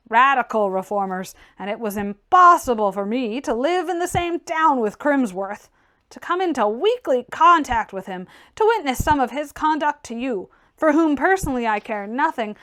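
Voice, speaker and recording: A woman plays a blunt young gentleman of radical temper in a classic-novel audiobook. The delivery is forceful and declamatory, pitched high and swinging widely with each emphatic point. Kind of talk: audiobook character reading.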